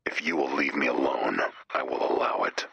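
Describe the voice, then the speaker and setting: A man playing a menacing cloaked loner vigilante in a video game, with a raspy growl and terse, melodramatic, formal lines. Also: rumbling low, little pitch movement, normal speed, sharp tone, lo-fi sound, a little hiss or hum, heavily acted. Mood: brooding, curt. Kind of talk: scripted video game character dialogue.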